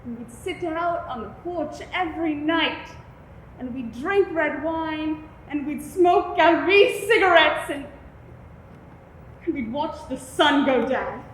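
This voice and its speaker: Free-spirited mother, warm and wistful; actress doing her, high, roomy sound. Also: animated, takes it slowly, exaggerated voice. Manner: loud, coaxing, wistful. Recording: echoey room with faint noise.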